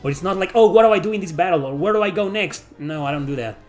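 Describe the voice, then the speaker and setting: A man's voice: high voice, expressive, quick pace, darkish tone, soft background music. A middle-aged man from Mexico with a Mexican accent, answering viewer questions in a blunt, profane, rambling casual chat.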